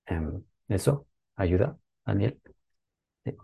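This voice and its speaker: A man talking gently about healing, hesitating often and ending many phrases with tag questions. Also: on the low side, expressive, slow pace, gravelly, breathy edge, dry recording, narrow, tinny audio. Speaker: workshop speaker. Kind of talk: talk on ancestral healing.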